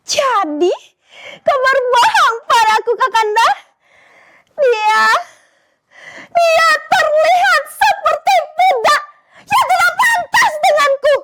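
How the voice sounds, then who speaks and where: A girl voicing a haughty noble wife, shrill and accusing toward her husband. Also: animated, takes it slowly, pauses often, a bit bright, no room sound, exaggerated voice. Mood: scornful shrill.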